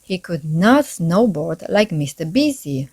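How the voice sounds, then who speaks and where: A woman reading a children's story aloud in an expressive, deliberate storybook manner. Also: on the low side.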